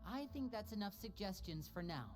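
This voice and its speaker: A woman's voice: medium pitch, fairly quick, close to an everyday voice. A woman voicing a composed, polite young woman in a video game who guides guests around. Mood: gently firm. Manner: calm, courteous, gently corrective. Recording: game audio with music behind. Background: game music.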